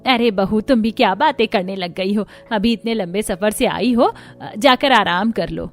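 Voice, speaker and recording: A woman's voice: medium pitch, normal speed, lightly acted. A woman voicing an eager, doting middle-aged mother-in-law from a humble family in a cartoon story, with a homely, fussing manner. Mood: hopeful. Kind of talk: Hindi cartoon story voice acting.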